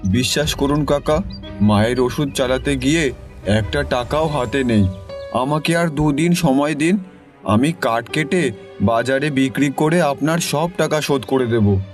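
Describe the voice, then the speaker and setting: A man's voice: medium pitch, a little slow, faint music behind, natural voice. A man voicing a humble, honest young village son in a cartoon, earnest and polite. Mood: pleading. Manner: earnest, pleading. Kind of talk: cartoon dialogue.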